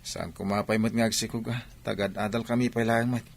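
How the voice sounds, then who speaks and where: A man's voice: on the low side, steady tone, fairly quick, gravelly, no room sound. A man playing a smitten, naive young student in an Ilocano radio drama. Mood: lovestruck.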